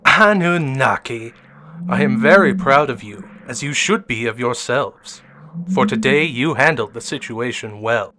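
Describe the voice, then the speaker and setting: A man playing a mysterious, manipulative professor in an audio drama, speaking in formal, measured, grandiose lines. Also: medium pitch, lively intonation, sharp tone, slight background noise. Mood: proud, solemn. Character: mysterious, manipulative professor mentor with hidden menace.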